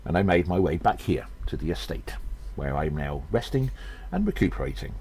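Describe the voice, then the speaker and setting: A man voicing a gnome adventurer who recounts his exploits slowly, in audio drama style. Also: low voice, sing-song, darkish tone, slight background noise. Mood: measured, grave. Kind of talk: first-person audio drama narration.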